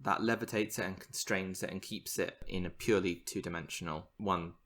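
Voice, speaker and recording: A man's voice: low voice, fairly even intonation, fairly quick, fairly bright tone. A man co-hosting a podcast, explaining science news in a casual, enthusiastic way. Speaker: podcast co-host.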